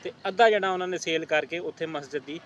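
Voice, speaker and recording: A man's voice: fairly high pitch, fast talker, a bit bright. A middle-aged man from Pakistan with a Punjabi accent, chatting as he interviews locals on site for a charity. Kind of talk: casual on-site conversation. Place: outdoors at an unfinished brick building site. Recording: outdoor location sound with clear background noise.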